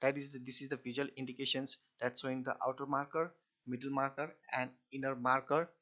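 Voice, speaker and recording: A male lecturer speaks at a middling pitch on a dull, lo-fi recording. He explains technical material step by step, often restarting and repeating his phrases in a halting way. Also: level tone, bright, forceful tone.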